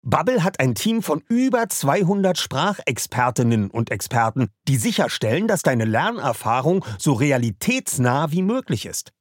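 Man, host, ad read, husky, salesy, dry close sound. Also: fairly high pitch, very animated, moderate pace, hardly any pauses, no room sound. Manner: upbeat, salesy. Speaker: podcast host.

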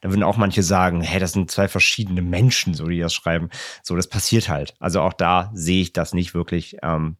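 A man co-hosting a podcast, explaining things in a relaxed, rambling way with many repeats. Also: low-pitched, a little fast, dry recording, studio quality. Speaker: podcast co-host. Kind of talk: casual podcast chat.

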